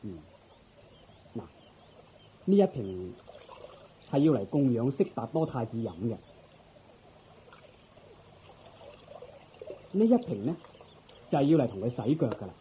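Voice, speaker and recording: A man's voice: mid-range voice, very animated, normal speed, pauses often, mellow, slight background noise, close to an everyday voice. An actor playing a dignified, courteous and earnest king in a Cantonese radio drama, stately yet humble. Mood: pleased, instructing.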